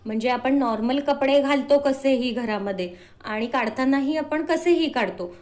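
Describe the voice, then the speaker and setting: A woman's voice: high voice, normal speed, a little mellow. A woman, a doctor answering interview questions in an explanatory way, mixing in English medical terms. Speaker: doctor, podcast guest.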